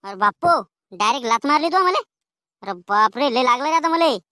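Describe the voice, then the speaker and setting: A woman's voice: high-pitched, animated, fairly quick, pushed and bright, no room sound, clean studio sound. A woman voicing a cheeky, quarrelsome village schoolboy in a comedy cartoon, with rural Maharashtrian slang and a taunting, argumentative way of talking.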